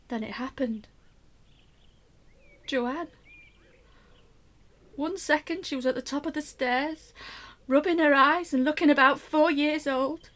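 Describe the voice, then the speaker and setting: An actress playing an anxious middle-aged mother, telling her story in an expressive, wry first-person radio play monologue. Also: high-pitched. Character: anxious middle-aged mother recounting a troubled family holiday.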